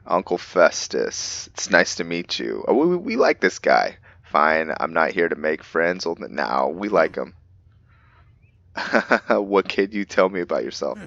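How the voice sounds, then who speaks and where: A man's voice: sits low, very animated, unhurried, gravelly, a bit bright, no echo, lo-fi sound. A man giving casual, musing commentary as he role-plays through a game, talking as "we". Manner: musing, speaks as "we". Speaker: gamer narrating a role-play.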